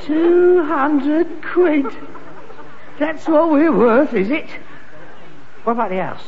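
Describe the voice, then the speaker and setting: An actor playing a frustrated grown-up son in a British sitcom, a London junk trader with big ideas and a sarcastic, indignant manner. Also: male voice, very high-pitched, takes it slowly, gravelly, some chatter behind, slight background noise, heavily acted. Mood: bitter, incredulous. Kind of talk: 1960s British sitcom acting. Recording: dull, muffled old sitcom recording.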